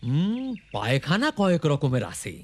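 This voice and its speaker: A man's voice: a little high, huge pitch swings, moderate pace, darkish tone. A man playing an earnest adult villager giving practical advice in a children's cartoon, with a Bangladeshi accent. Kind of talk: children's educational cartoon acting.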